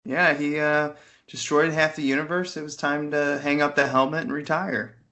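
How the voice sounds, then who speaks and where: A man's voice: on the high side, fairly even intonation, normal speed, sharp tone. A man co-hosting a casual film podcast over an internet call, talking in an informal, wry way. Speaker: podcast co-host reviewing films. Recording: Skype call audio.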